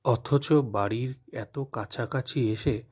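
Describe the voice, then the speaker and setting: A man narrating a village tale in a slow, measured storytelling manner. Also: medium pitch, darkish tone, breathy edge.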